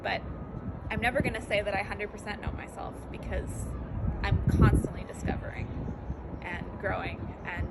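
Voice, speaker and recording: Thoughtful woman over loud traffic noise. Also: medium pitch, fairly even intonation, moderate pace, gravelly. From born in Austria, raised abroad and in the US. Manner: thoughtful, searching, many fillers and self-corrections. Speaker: interview guest. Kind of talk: casual reflective interview answers.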